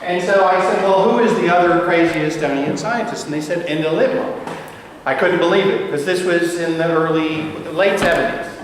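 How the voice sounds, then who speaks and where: A man's voice: fairly high pitch, moderate pace, slight background noise. An older man giving a friendly, rambling academic lecture with many fillers and restarts. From United States. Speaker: guest lecturer. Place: large lecture hall. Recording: echoey hall from far off.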